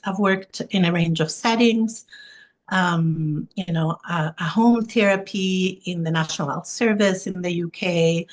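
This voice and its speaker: A non-binary therapist from Italy, with Italian as a first language, being interviewed as a guest expert. They speak warmly and earnestly over a video call, often listing things in long runs. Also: medium pitch, vocal fry. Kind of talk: interview, self-introduction.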